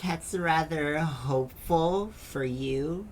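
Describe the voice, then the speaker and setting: A woman's voice: low voice, takes it slowly, a little hiss or hum. A woman playing a wisecracking, nervous male trainee scholar in an audio drama, in a natural, hesitant manner. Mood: wry.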